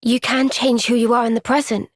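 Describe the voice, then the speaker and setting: An actress voicing a gentle, kind and steady young woman soldier in a game's cutscenes, with a Welsh accent and a soft-spoken, caring, thoughtful way of talking. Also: a little high, brisk, no room sound, clean studio sound, natural voice. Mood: warm. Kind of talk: video game cutscene dialogue. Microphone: close studio microphone.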